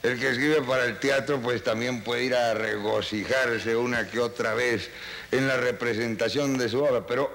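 A man's voice: mid-range voice, steady tone, normal speed, a bit bright. A man, a radio writer, delivering a rambling, theatrical lament on a reverberant old film soundtrack. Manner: rambling, dramatic, repetitive.

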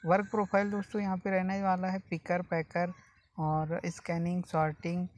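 A man hosting a job-vacancy channel, talking viewers through an opening in a steady, explanatory voice-over that keeps addressing them as friends. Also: high voice, normal speed. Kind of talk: informal informational voice-over. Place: voice-over over a phone screen recording.

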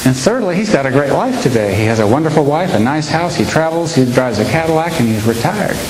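A man's voice: a little high, animated. Middle-aged guy doing a funny talk on stage, long reverb, hissy room. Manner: storytelling with pauses and punchlines. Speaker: humorist speaker. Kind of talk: live keynote speech with audience laughter. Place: large hall with an audience. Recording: echoey hall recording with hiss.

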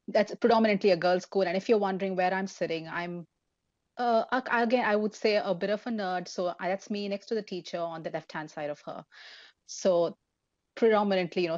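A woman's voice: fairly low pitch, a little mellow, no echo, muffled recording. A woman in her thirties from India with an Indian accent, a professor telling students about her path into engineering in a chatty, self-deprecating way over a webcam. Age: 30s. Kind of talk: online forum talk for students. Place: indoors at home, plain wall behind. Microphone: laptop webcam, video call.

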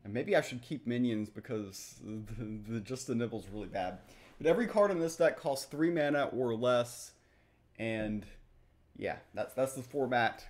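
A man's voice: medium pitch, animated, normal speed, darkish tone. A young man giving casual, explanatory game commentary in a rambling, matter-of-fact way. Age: young adult. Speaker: gaming video host. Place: small home room, indoors.

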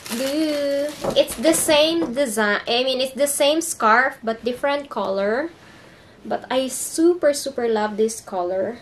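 Slow-talking Filipino-accented young woman. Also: high-pitched, slight background noise. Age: young adult. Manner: searches for English words, hesitant and chatty. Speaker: vlogger. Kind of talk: casual haul vlog. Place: bedroom indoors.

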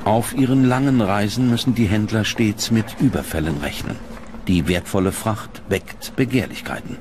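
A man narrating a documentary script in a grave, measured, dramatic way. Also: fairly low pitch, noisy background. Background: prominent background music.

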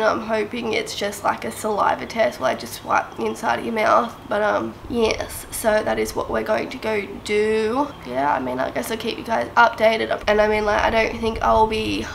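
A young woman talks casually to a close-held camera, her voice thick and nasal from a head cold. Her pitch sits a touch high, and a gravelly fry creeps into her words as she rambles on with plenty of "um" and "I mean, like.". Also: steady tone, moderate pace, hardly any pauses, a little hiss or hum, rough recording. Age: young adult. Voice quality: nasal, congested. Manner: chatty, rambling, many fillers like "um" and "I mean, like". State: sick with a blocked nose and sore throat. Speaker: vlogger. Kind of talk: casual to-camera vlog talk.

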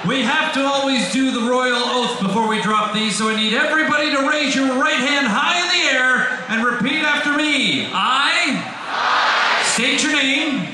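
A male announcer shouting through a PA to hype up a crowd in a large indoor space. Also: very high voice, moderate pace. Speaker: event announcer. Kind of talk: hyping up a crowd. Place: large indoor ship promenade. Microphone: PA heard through distant camera. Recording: echoey crowded hall, far off, noisy.

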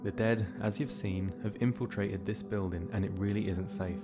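Weary young soldier played by a male actor, low, dry and sarcastic, audio drama with music underneath. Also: fairly even intonation, moderate pace, lightly acted. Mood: sardonic, insistent.

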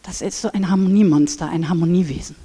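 A woman in her thirties on a headset mic, giving a lively conference talk and acting out inner voices. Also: lowish voice, quick pace, slightly rough. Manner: animated, acts out inner voices. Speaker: speaker at a conference. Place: large brick hall with seated audience. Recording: reverberant hall.

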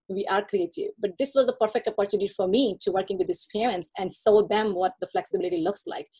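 A woman's voice: medium pitch, mellow, slightly rough, poor audio. Woman presenting on a video call. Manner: friendly, explanatory, laughs lightly, frequent fillers. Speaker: occupational therapist presenter. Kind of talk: webinar presentation. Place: home room, indoors.

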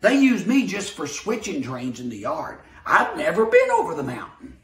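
A man's voice: fairly high pitch, huge pitch swings, moderate pace, distant mic. A man voicing a small, kind female toy engine who chants as she chugs, in a storybook reading. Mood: modest. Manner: chugging, chanting. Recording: roomy, from the mic.

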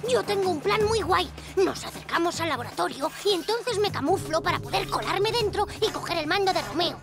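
A woman with an accent from Spain playing an eager young boy superhero in a cartoon dub, earnest, excitable and a little insecure. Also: extremely high pitch, a little fast, vocal fry, exaggerated voice. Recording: cartoon music behind.

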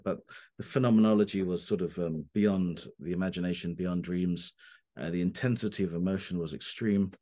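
A man, a philosopher answering interview questions over a video call from his book-lined study, halting with many fillers and restarts. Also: low voice, darkish tone. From Cornwall, England. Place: home study lined with books. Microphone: video call webcam.

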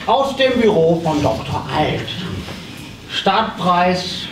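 A man playing a brisk auctioneer in a live comedy audio play, chanting bids and drawing out his calls. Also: sits high, big pitch swings, creaky, exaggerated voice. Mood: theatrical, brisk. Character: brisk theatrical male auctioneer selling off a practice. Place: small theatre stage, indoors. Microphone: stage microphone, recorded by far camera. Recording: noisy, echoey, distant live stage recording.

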